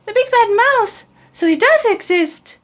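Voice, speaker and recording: A woman's voice: very high voice, animated, measured pace, voice pushed up. A woman voicing a bold, curious young female monster child in a storybook, exclaiming and asking singsong questions. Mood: amazed.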